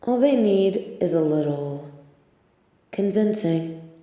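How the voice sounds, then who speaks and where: A woman playing a sardonic, scheming supervillain in a scripted audio roleplay, with a theatrical, measured, wry delivery and grudging sympathy. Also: fairly low pitch, expressive, dark tone. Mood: scheming, gloating. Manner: theatrical, measured, wry, drops into muttered asides.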